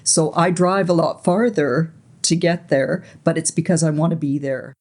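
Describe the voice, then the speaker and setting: A woman in her sixties, raised in Canada, recalling her life story slowly and reflectively as an interview guest, often pausing to search for words. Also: low-pitched, steady tone. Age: 60s. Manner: soft, unhurried, searching for words.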